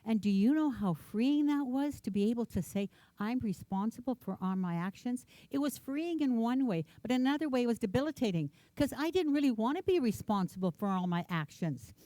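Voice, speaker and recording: A woman's voice: a little high, expressive, a little fast, few pauses, dark tone, no echo. A woman minister, a native Ukrainian speaker, gives an earnest, reflective personal sermon in a church, repeating words for emphasis.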